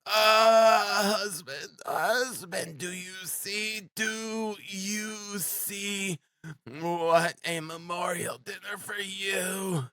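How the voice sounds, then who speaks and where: A man voicing a frantic, defiant, impoverished widow in an audiobook, wailing and fiercely protective with drawn-out dramatic cries. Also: a little high, animated, vocal fry, bright, forceful tone, voice pushed up, exaggerated voice. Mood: despairing pleading. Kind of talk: dramatic audiobook character acting.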